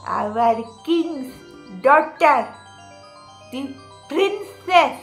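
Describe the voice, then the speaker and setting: A woman voicing a gossipy innkeeper in a folk tale, with an Indian accent and halting, clipped broken English. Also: high-pitched, animated, soft background music, full character voice. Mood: conspiratorial, gossipy. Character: gossipy Indian innkeeper sharing bad news.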